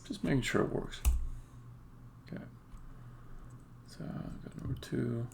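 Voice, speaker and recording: A man talking casually to himself in halting mutters, thinking aloud as he live-codes on a stream. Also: medium pitch, creaky. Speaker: game developer live-streaming a coding session. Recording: faint background noise, typing and clicks. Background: computer keyboard typing and mouse clicks.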